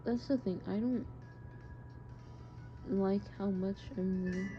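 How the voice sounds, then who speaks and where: A young adult woman talking haltingly and informally, as if into a personal audio diary. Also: medium pitch, soft background music. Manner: hesitant, halting, informal with swearing. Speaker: audio diarist. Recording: muffled home recording, clear background noise. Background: background noise, friends' voices.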